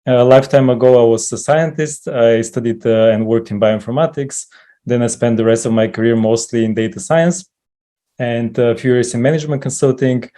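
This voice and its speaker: A man introducing himself as a panelist on a video call, measured and modest. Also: medium pitch, fairly even intonation, mellow. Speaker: panelist, CTO.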